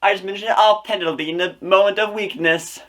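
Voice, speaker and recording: Casting: male actor as high, bright cartoon plumber hero, distraught, pleading, stammers and repeats himself. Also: a little fast. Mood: panicked pleading.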